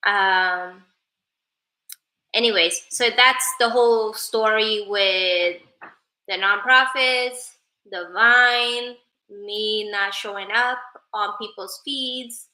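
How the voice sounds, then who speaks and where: A woman's voice: medium pitch, takes it slowly, bright, forceful tone, echoey room, rough recording. A woman from Sweden, whose first language is Persian, chatting casually on a livestream and thanking viewers by name. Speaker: livestreamer and advocate.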